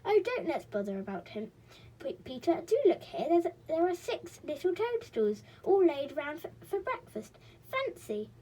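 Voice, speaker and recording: A woman's voice: fairly high pitch, big pitch swings, moderate pace, mellow. A woman reading aloud and voicing an eager young girl in a children's storybook. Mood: delighted.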